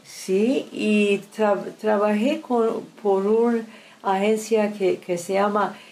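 An older woman from Turkey, whose first language is French, telling her life story in an interview, halting often and restarting her words. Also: mid-range voice, fairly even intonation, darkish tone, slight background noise, low-quality recording. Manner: halting, many restarts and fillers.

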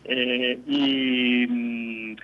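A man's voice: medium pitch, little pitch movement, very slow, phone-quality sound. A man with an Argentine accent, a community spiritual leader answering questions in a radio interview, hesitant, with many fillers and restarts. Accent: Argentine Spanish.

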